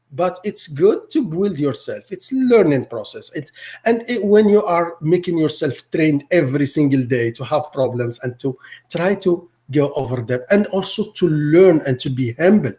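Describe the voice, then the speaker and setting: A middle-aged man from Morocco, Arabic his first language, speaks in a somewhat high voice. He answers interview questions haltingly, stopping to repeat words and restart his sentences. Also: a little mellow. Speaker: teacher.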